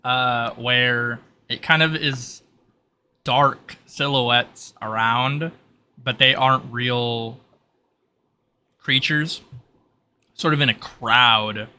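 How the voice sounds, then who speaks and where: A man acting as game master, improvising scene descriptions in a casual, halting way. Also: mid-range voice, pushed and bright.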